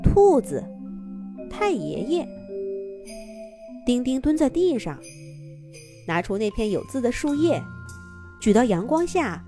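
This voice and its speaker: A woman narrating a children's story in a gentle, expressive storybook manner. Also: fairly high pitch, slow, long pauses, soft background music.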